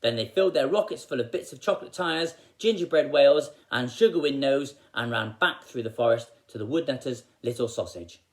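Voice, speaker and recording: A man reading aloud as a storyteller for children, in an expressive style. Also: mid-range voice, a little fast, few pauses, low-quality recording.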